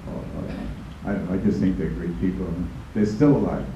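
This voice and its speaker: A man's voice: sits low, moderate pace, dark tone, slight background noise. An older man, a priest, standing in an audience and speaking earnestly into a handheld mic, stumbling over repeated words. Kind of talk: audience comment at Q&A. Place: large conference hall. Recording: dull echoey hall.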